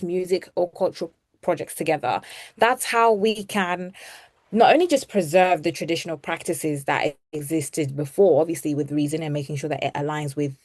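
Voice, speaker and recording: Low-pitched woman panelist, measured, recorded dry and close. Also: darkish tone, no room sound. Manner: thoughtful, measured. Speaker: panel speaker, presenter. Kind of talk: panel discussion.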